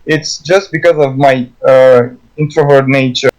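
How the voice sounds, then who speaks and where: A man with a Romanian accent, a native Romanian speaker, chatting as a guest artist, halting and stuttering with frequent restarts. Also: mid-range voice, fairly even intonation, darkish tone, dull audio. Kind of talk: casual conversation.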